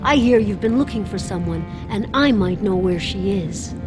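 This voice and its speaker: A woman's voice: medium pitch, animated, normal speed, over loud music, lightly acted. An actress playing a wily, crippled old beggar woman with a wheedling, streetwise manner in a fantasy game. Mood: wary, knowing.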